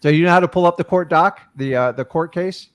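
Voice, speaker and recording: A man's voice: on the high side, brisk. A man hosting a coaching call, giving blunt and casual advice to students over a video call. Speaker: host and wholesaling coach.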